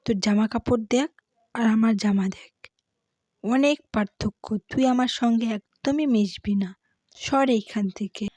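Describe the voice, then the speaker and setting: Woman playing a haughty, mellow-toned elder sister. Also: fairly high pitch, moderate pace, dry recording, natural voice. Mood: scornful. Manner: scornful, bossy. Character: haughty, dismissive young modern elder sister.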